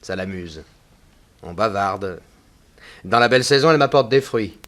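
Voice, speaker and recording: A man's voice: lowish voice, lively intonation, moderate pace, fairly bright tone. A man playing a defensive, talkative young potter in a 1960s French radio drama. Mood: chatty. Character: young male potter, defensive, chatty, cheeky son.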